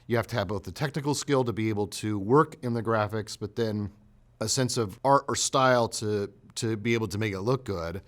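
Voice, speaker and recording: A man explains his production craft in an interview. His voice is fairly low. He talks in a halting way, rephrasing as he goes.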